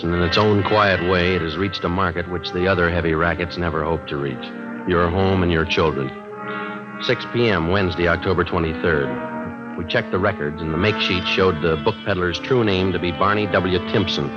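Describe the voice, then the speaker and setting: An actor playing a terse, deadpan police detective who also narrates in a 1950s radio crime drama. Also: male voice, sits low, normal speed, over music, natural voice. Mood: flat, grave. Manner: deadpan, matter-of-fact. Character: terse, deadpan male police sergeant working undercover. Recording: muffled old radio broadcast recording.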